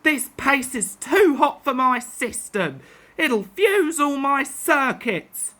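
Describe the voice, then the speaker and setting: A woman's voice: high-pitched, lively intonation, takes it slowly, bright, forceful tone, pitched above the performer's own voice, full character voice. A woman voicing a weary old female car in a children's story, complaining and wailing. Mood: grumbling.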